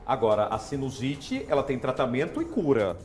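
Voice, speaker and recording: A hoarse man with a Brazilian Portuguese accent, hosting a TV health interview in a chatty, playful way in a studio. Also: medium pitch, wildly swooping pitch, moderate pace, darkish tone, soft background music. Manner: chatty, asks questions with playful disbelief. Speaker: TV show host.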